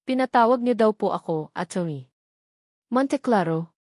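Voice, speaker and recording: A woman's voice: medium pitch, expressive, normal speed, darkish tone, no echo, studio quality, close to an everyday voice. Narrator as a proud, guarded Filipino woman. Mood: formal. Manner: formal, firm. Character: proud, guarded young working woman, reluctant bride. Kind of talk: dramatic audio storybook dialogue.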